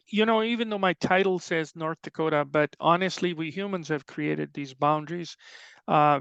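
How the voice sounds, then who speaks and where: A middle-aged man with a Pakistani accent presents technical material conversationally. His voice is smooth yet creaky at the edges and sits a little high. The webinar audio is dry, with little room sound. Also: fairly bright tone, no room sound, highs cut off. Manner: explanatory, conversational asides. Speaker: extension soil health specialist, presenter. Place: online webinar call.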